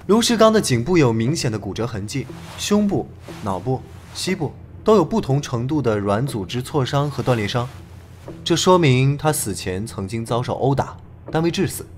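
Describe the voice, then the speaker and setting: A man's voice: medium pitch, slight background noise, natural voice. An actor playing a confident young police detective in an audio drama, laying out his reasoning in an articulate, methodical way. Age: young adult. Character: confident young male police detective, analytical, returned from abroad. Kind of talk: Chinese audio drama acting.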